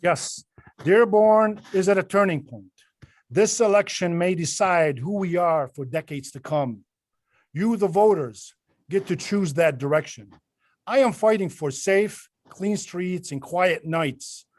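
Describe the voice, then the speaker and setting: A man with a fairly high voice, recorded dry and close, delivers a campaign speech as a candidate. He is emphatic and rhetorical, building up short repeated phrases. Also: measured pace, dry recording. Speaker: mayoral candidate giving closing statement.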